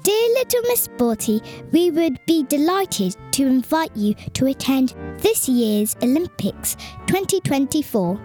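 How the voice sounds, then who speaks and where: A young-sounding woman acting a slow, lilting storybook announcer. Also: sits high, big pitch swings, dark tone, faint music behind. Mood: formal, measured. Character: formal competition announcer and letter voice. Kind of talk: storybook announcement, read aloud.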